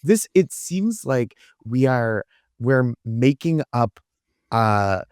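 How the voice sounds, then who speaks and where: A man's voice: mid-range voice, very animated, slow pace, no echo, studio quality. A man from the United States giving casual comedic commentary as a podcast guest, riffing in a wry, conversational way.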